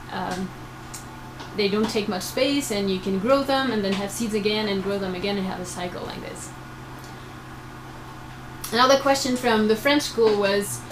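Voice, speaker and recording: A woman in her twenties giving explanatory answers as a research crew member, speaking to students. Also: medium pitch. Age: 20s. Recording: noisy room recording.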